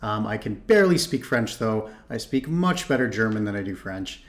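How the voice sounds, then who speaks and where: A man's voice: lowish voice, lively intonation, moderate pace. A man from Ontario hosting a reaction video, chatting casually and thinking aloud with frequent restarts. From Canada (Ontario). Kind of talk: casual commentary.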